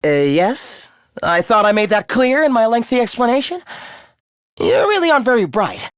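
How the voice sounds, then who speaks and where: A man's voice: big pitch swings, normal speed, clear, resonant tone, no room sound, studio quality. A man voicing a sadistic young male video game villain, mock-polite and theatrical in his contempt. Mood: smug contempt. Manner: mock-polite, theatrical, swinging into shrill ranting. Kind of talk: anime-style video game voice acting.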